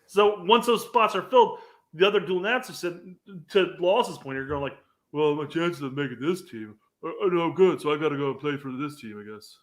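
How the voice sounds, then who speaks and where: A man's voice: on the high side, fairly quick. A man co-hosting a sports podcast, making his points with casual hypotheticals. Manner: conversational, argues with hypotheticals, laughs easily. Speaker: podcast co-host.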